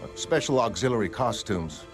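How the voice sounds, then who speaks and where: An actor playing a mild, self-doubting middle-aged American retired crime fighter in a motion comic, talking in a hesitant, reflective way. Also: male voice, mid-range voice, lively intonation, moderate pace, music in the background, lightly acted. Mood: earnest, matter-of-fact. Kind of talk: dramatic motion comic character acting.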